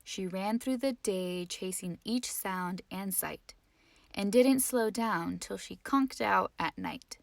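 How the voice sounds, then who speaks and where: Unhurried woman reader, expressive rhyming storybook narration, a little high, close dry sound. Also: creaky, no room sound. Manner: expressive, rhythmic rhyming delivery. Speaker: read-aloud narrator. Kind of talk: children's picture book read-aloud.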